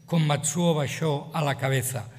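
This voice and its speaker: A man's voice: a little high, fairly even intonation, measured pace, darkish tone. A man reads a formal ceremonial tribute speech as an official. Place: large indoor hall. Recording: reverberant ceremony hall.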